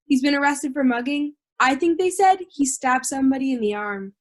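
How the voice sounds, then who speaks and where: A woman's voice: sits high, animated, brisk. A woman playing an impatient, sarcastic, dismissive juror in an amateur audio drama.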